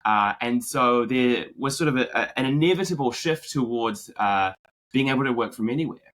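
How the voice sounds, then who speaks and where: A man from New Zealand with a drifting New Zealand accent, a podcast guest telling his story in a relaxed way. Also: medium pitch, moderate pace. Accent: New Zealand, mixed with American. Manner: relaxed storyteller, many fillers and restarts. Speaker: podcast guest, marketing executive. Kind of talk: conversational interview.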